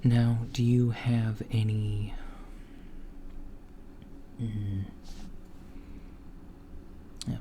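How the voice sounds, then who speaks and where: A man voicing a calm alien doctor in a softspoken ASMR roleplay, talking in a hushed, partly whispered way with an unhurried, hesitant manner. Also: fairly low pitch, slight background noise. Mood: calm clinical. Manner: unhurried, hesitant, with many fillers and murmured acknowledgments. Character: calm alien doctor examining a human patient.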